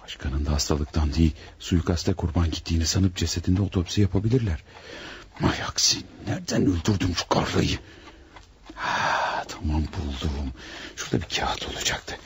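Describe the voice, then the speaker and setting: An actor in a radio drama playing a panicked, scheming killer who mutters dramatically to himself. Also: male voice, low-pitched, normal speed. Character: panicked scheming male killer hiding beside his victim. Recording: noisy old radio drama recording.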